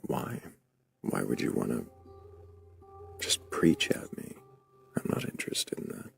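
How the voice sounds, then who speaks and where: A man hosting a livestream debate, speaking slowly in a dry, sardonic manner, often dropping to a near whisper. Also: lively intonation, faint music behind. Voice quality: very low gravelly vocal fry. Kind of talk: livestream chat.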